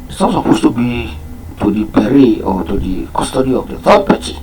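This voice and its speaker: A man whose first language is Yoruba, giving an unscripted talk on a program, pausing often with fillers and repeating his key points. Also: medium pitch, very animated, a little mellow, a little hiss or hum, low-quality recording. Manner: halting, many fillers and restarts. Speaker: program presenter.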